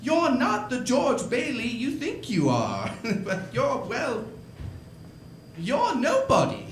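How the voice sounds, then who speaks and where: A man playing a gentle, eager old guardian angel in a 1940s-style radio play, hesitant, stammering and quaintly polite. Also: extremely high pitch, huge pitch swings, slow pace, echoey room, a little hiss or hum, heavily acted. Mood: earnest, mysterious. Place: indoor stage performance.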